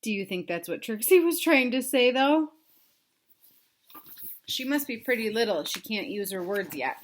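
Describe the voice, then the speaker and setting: A woman reading a picture book aloud to young children, pausing to explain things simply and ask them questions. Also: a little high, lively intonation. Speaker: read-aloud storyteller for children.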